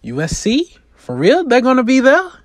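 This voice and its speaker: A man voicing a sassy, confident high-school girl with a casual, slangy manner in a script reading. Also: huge pitch swings, normal speed, no room sound, studio quality, full character voice. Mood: excited.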